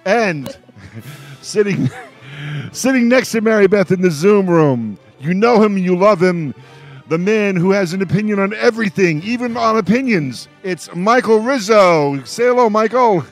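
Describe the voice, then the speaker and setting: A man's voice: high voice, lively intonation, a little slow, faint music behind. A man hosting a roundtable in a playful, showman-like way, with joking announcements and some hesitations. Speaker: radio show host. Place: radio show held over a video call.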